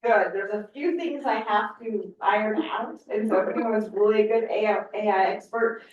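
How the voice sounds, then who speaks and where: A woman's voice: mid-range voice, normal speed, a little hiss or hum. Woman, informal meeting update, dull sound, heavy echo. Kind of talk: meeting remarks. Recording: muffled, very echoey, distant mic.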